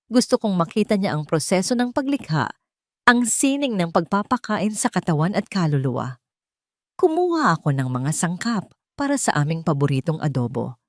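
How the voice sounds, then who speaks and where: A woman narrates a scripted first-person drama in a slightly low voice. Her delivery is solemn and sentimental, in the manner of a storyteller. The sound is close and dry, with no room echo. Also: big pitch swings, normal speed, darkish tone.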